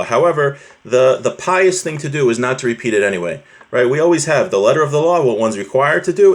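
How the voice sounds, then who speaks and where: Man teaching a religious text informally. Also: mid-range voice. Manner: explanatory, with rhetorical check-ins. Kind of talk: informal religious text lesson, reading and explaining.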